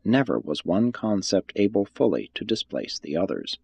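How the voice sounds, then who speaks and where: A man reading a religious text aloud as scripted audiobook narration, in a measured, formal and solemn manner. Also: low-pitched, sing-song, creaky, a little mellow, breathy edge, no echo.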